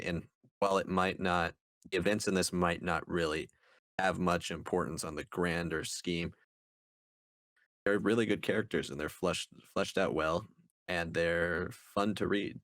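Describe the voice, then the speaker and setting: A man's voice: low-pitched, steady tone, moderate pace, a bit bright, no room sound, clean studio sound. A man co-hosting a review podcast, speaking in a casual conversational style. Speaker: podcast co-host reviewing books.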